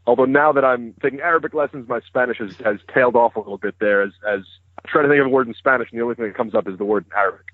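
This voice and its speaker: Man in his thirties, native Hebrew speaker, brisk and open, husky, phone-line sound. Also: medium pitch, no room sound. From United States. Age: 30s. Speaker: interview guest, news correspondent. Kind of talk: phone interview conversation. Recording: dry, tinny phone line.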